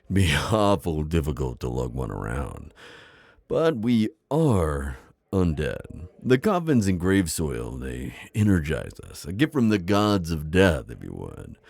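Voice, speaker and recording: A very deep-voiced, wise old vampire innkeeper, acted by a man for an immersive ASMR roleplay. He is friendly and reassuring, with a gravelly creak and lively swings in pitch as he chats to a guest. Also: unhurried, no room sound, full character voice. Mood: chatty, hospitable. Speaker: roleplay audio performer.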